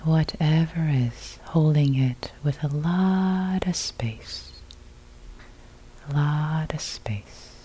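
A woman meditation teacher with a soft, husky, breathy voice, guiding a meditation in sparse, unhurried, soothing phrases. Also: deep voice, a little hiss or hum, rough recording. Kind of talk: guided meditation.

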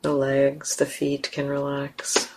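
Lady doing guided meditation, super slow, pretty flat pitch, gentle short phrases w lots of space. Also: slight background noise, rough recording. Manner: gentle, unhurried, long pauses between phrases. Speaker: meditation teacher.

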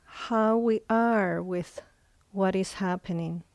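Slow, mellow woman guiding meditation. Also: mid-range voice, no room sound. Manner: unhurried, with long pauses between short phrases. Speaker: meditation teacher. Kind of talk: guided meditation instruction.

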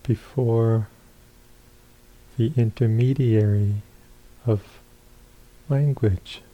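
A man giving guided meditation instructions as a teacher, calm and unhurried, with long pauses between short phrases. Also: lowish voice, lo-fi sound.

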